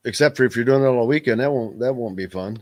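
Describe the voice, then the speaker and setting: A man hosting a sports radio show, chatting casually, joking and rambling in an animated way. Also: medium pitch, quick pace.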